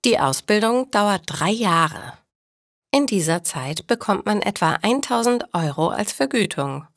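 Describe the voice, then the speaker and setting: A dedicated, friendly midwife aunt as a female narrator reads her in a learners' storybook dialogue. She explains things slowly, with expressive pitch movement. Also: no echo, studio quality. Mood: informative. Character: dedicated adult midwife, friendly aunt who loves work.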